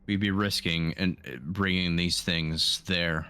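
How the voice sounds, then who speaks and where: A man's voice: deep voice, steady tone, unhurried, pushed and bright, clear, resonant tone. A man playing a tabletop roleplaying game, debating plans in a casual way.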